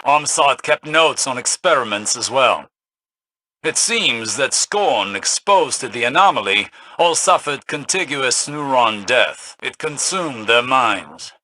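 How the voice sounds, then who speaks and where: A man's voice: medium pitch, expressive, slow, sharp tone, no echo, full character voice, poor audio. A man acting a studious, solemn companion spirit in a video game, speaking precisely and broodingly like an erudite investigator. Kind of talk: dramatic video game dialogue.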